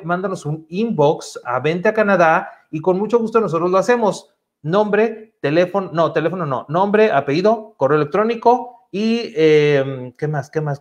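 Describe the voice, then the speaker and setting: A man's voice: high voice, a little mellow. A man in his thirties hosting a live webinar in a chatty, upbeat, informal way over a headset on a video call. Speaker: host of a study-abroad webinar. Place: indoor room, on a video call. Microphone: wired earphone headset.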